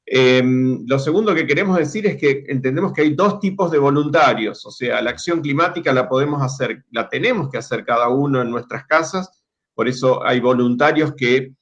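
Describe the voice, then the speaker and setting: A man's voice: mid-range voice, normal speed, highs cut off. A man speaking as the director of a climate network, giving an informal presentation, hesitant and repeating words as he restarts.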